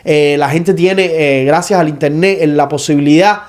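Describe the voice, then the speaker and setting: A man's voice: on the high side, moderate pace, a little mellow. A young Cuban man speaking Spanish with a Cuban accent, co-hosting a podcast panel debate in long explanatory turns full of "eh" fillers. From Cuba. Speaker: podcast co-host.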